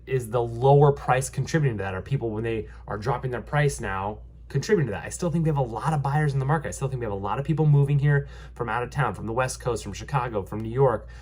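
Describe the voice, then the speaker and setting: A man's voice: medium pitch, slight background noise. A young man with a stuffy nose from a cold, giving a casual market update as a realtor, rambling with lots of ums and restarts. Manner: rambling, many ums and restarts, upbeat reassuring. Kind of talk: casual vlog market commentary.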